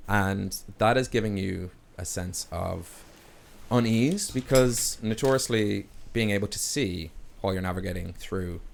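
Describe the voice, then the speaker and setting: A man's voice: fairly low pitch, a little slow, vocal fry, a little hiss or hum. A young Irish man, laid-back and laughing often, narrating as the game master of a tabletop game, with faint music behind. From Ireland.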